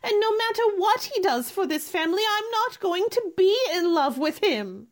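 A spirited young lady in a period radio drama, acted by a woman. Her voice is high and lively in pitch, polite on the surface yet pointed and stubborn. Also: moderate pace. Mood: upset, insistent. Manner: polite but firm, pointed. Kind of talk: radio theater acting.

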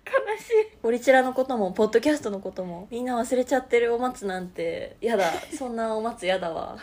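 A woman's voice: on the high side, brisk, darkish tone. A woman around her thirties chatting casually as a podcast co-host. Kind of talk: casual chat.

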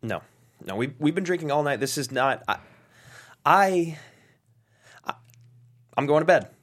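A man playing an earnest, shaken, protective friend with a halting, pleading way of speaking in a dramatic script reading. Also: on the high side, animated. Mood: rattled. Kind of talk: screenplay table read.

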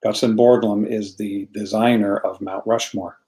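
A man's voice: on the low side, fairly even intonation, normal speed, warm tone. An American man, a guest in a casual online chat, telling a story earnestly and repeating words as he searches for them. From United States.

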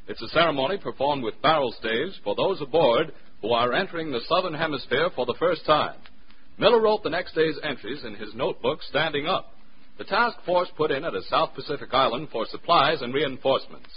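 A man's voice: on the high side, muffled recording, a little hiss or hum. A man with an American accent reading formal, emphatic, dramatic narration as a 1940s radio announcer on an old recording.

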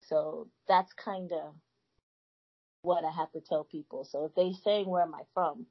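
A woman's voice: sits low, unhurried, breathy edge, lo-fi sound. A woman in her thirties from the United States with a Nigerian family, a guest in a casual conversation, telling stories emphatically. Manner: animated storyteller, emphatic.

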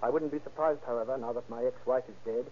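An actor playing a polite gentleman witness with formal wording in an old radio drama. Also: medium pitch, steady tone, fast, a little hiss or hum, lightly acted. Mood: courteous. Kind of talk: 1930s radio acting. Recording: muffled old radio recording.

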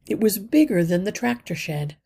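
Lady reading a kids book aloud, really raspy and creaky, takes her time, gets hushed at phrase ends. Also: medium pitch, sing-song, moderate pace. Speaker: read-aloud podcast narrator. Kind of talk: expressive children's storybook reading.

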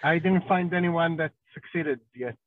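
Israeli rabbi guest, slow and halting, high voice, thin phone-line audio. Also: male voice, dry recording. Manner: slow and halting, many ums and uhs. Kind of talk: podcast interview.

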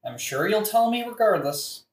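A woman playing a prince's unimpressed male friend in a comedic audio play, with dry, deadpan delivery. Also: medium pitch, normal speed, lightly acted. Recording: roomy echo.

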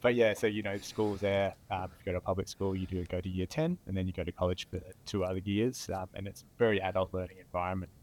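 A man's voice: deep voice, steady tone, quick pace, few pauses, darkish tone, slight background noise. A man from Tasmania with an Australian accent, a guest speaking reflectively and modestly with many fillers. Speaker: guest, veteran. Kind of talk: interview.

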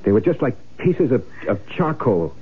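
A man's voice: medium pitch, big pitch swings, normal speed, slightly rough. An actor in an old-time radio drama playing a tense, curt, scheming man in hiding. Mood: uneasy insistence. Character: tense, scheming man hiding out after faking his death. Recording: muffled, band-limited old radio recording, faint noise.

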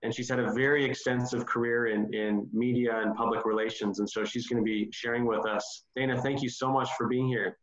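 A man's voice: mid-range voice, level tone, brisk, few pauses. Easygoing male podcast host, roomy lo-fi sound. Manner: easygoing, laughs along. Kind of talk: interview hosting.

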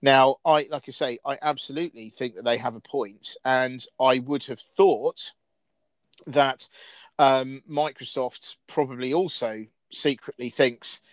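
A man's voice: mid-range voice, steady tone, unhurried, no room sound. Rambling man hosting a chat. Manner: rambling, many restarts and fillers. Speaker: host. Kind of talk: casual discussion.

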